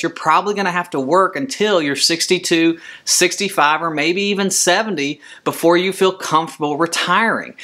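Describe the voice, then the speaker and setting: Bright-voiced man explaining, emphatic. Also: a little high. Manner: emphatic, repeats key points. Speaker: host, financial advisor and retirement counselor. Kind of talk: scripted explainer talk to camera.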